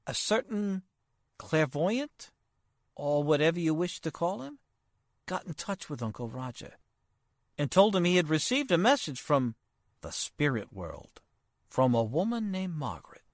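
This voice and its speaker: A polite, worried gentleman in an old-time radio play, acted by a man. He speaks formally and haltingly, his pitch rising and falling widely, in a dry, close recording. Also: sing-song, dry recording. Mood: troubled. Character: polite, hesitant, worried nephew of a wealthy man. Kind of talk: 1940s radio drama acting.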